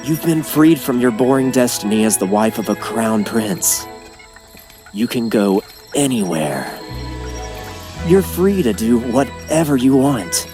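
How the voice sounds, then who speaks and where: A man's voice: mid-range voice, big pitch swings, unhurried. A man playing a confident, roguish chief of traveling merchants in an anime dub, teasing and persuasive like a showman. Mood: coaxing, persuasive. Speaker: voice actor in an anime fan dub. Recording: music behind the voice. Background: music.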